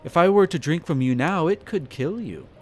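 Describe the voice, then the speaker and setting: A man playing a possessive, flirtatious vampire master in a roleplay audio drama, speaking formally and condescendingly with theatrical pauses. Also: fairly high pitch, lively intonation, a little mellow. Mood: hungry, teasing. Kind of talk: scripted roleplay audio drama, addressing the listener. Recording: music behind the voice. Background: background music.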